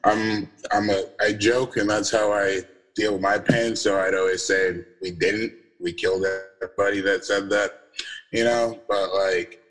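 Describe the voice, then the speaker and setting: A young man with a deep voice giving a casual, halting personal talk on a video call, full of fillers and restarts. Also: steady tone, fairly bright tone, soft background music, rough recording. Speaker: event speaker.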